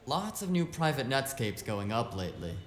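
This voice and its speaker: A man's voice: mid-range voice, sing-song, normal speed, natural voice. Breezy streetwise cyberpunk hacker played by a man. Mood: focused, musing. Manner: casual, slangy, flippant. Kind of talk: cyberpunk noir audio drama dialogue.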